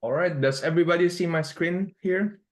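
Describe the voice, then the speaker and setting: A man's voice: sits high, a little fast. Chatty man from Indonesia on a video call. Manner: conversational, peppers speech with "you know" and "right". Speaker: senior engineer and lecturer, panelist. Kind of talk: online panel discussion and presentation.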